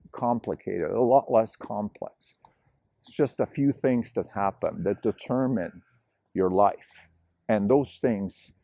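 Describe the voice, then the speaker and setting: A man's voice: on the low side, mellow, dry recording. A middle-aged man, a novelist hosting his own show, thinking aloud in a rambling solo monologue full of digressions. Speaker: novelist and podcast host.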